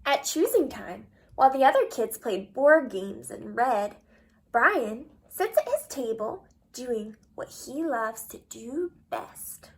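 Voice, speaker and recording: A woman with a high, very lively voice reads a picture book to young children. She takes her time over the phrases and asks guiding questions as a storyteller would. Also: slow pace, rough recording. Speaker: children's read-aloud storyteller. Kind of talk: expressive picture-book read-aloud with questions to young listeners.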